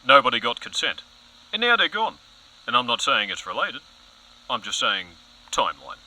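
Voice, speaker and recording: A pompous news anchor in a comedy audio short. His voice is mid-pitched, piercingly bright and highly animated. He leans on dramatic pauses like an overzealous crusader chasing a conspiracy. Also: low-quality recording. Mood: accusatory, dramatic. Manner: pompous, melodramatic, emphatic pauses. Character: overzealous, self-important male news anchor spiralling into conspiracy. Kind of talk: mock current-affairs broadcast, scripted audio comedy.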